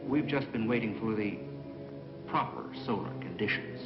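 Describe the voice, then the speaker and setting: An actor playing a composed, obliging space station official in a dubbed old sci-fi film, formal and measured. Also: male voice, fairly low pitch, lightly acted. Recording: roomy, dull old film soundtrack, music.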